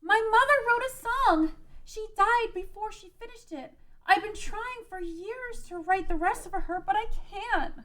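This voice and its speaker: A woman's voice: extremely high pitch, unhurried, a bit bright. A woman playing a brave, earnest young peasant girl pleading for peace in an amateur fantasy table read.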